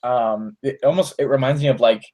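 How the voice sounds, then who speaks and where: A man's voice: mid-range voice, a little fast, warm tone, muffled recording. A man co-hosting a live fan podcast, bantering and giving opinions with lots of restarts. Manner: joking, hesitant restarts when giving opinions. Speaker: podcast co-host.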